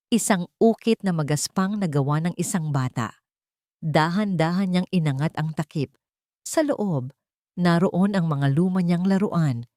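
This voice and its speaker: A Filipino woman narrates a dramatic scripted story. Her voice sits somewhat low, and the sound is dry with very little room around it. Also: darkish tone, dry recording.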